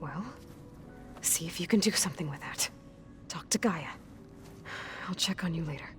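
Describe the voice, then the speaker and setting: Game lead: determined young female warrior, played by a woman, slightly low voice, plain-spoken, naturalistic, faint music. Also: moderate pace, lightly acted. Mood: firm, steady. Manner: direct, probing, plain-spoken. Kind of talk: naturalistic video game acting.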